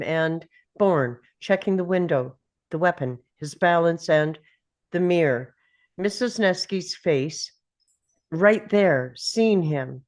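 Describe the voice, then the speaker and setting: A woman reading screenplay action aloud as dramatic narration at a table read. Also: on the low side.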